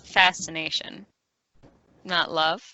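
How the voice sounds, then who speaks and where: A woman's voice: low-pitched, level tone, takes it slowly, pushed and bright, a little hiss or hum, full character voice, low-quality recording. A woman playing a guarded, wistful lover with a dry, reflective way of talking at a table read. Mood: wistful, confiding. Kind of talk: script table read, drama.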